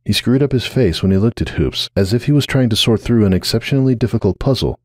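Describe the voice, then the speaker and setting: A man's voice: on the low side, lively intonation, fast talker, dry recording, clean studio sound. A man reading a scripted story aloud as a dramatic narrator.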